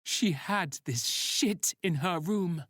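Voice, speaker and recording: Agitated teen boy, played by a male narrator, higher-pitched, confrontational, sci-fi audiobook, close dry sound. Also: takes it slowly, a bit bright, no echo, full character voice. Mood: angry, defiant. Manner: emphatic, confrontational. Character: agitated teenage boy searching for his sister.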